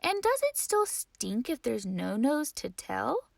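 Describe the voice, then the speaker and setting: Storybook figure: a woman doing an eager little girl full of questions, pitched up, slow and dry. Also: sing-song, gravelly, dry recording, full character voice. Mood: curious. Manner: wondering, questioning, some whispered lines.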